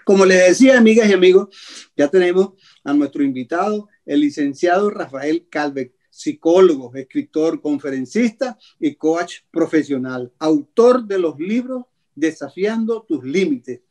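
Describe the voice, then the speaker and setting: An older man hosting a health show over a video call from a small room at home, speaking in a measured way and listing things slowly with pauses. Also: fairly high pitch, expressive, a little mellow. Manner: measured, lists items slowly with many pauses. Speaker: host. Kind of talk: radio-style health show presenting. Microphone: video call webcam.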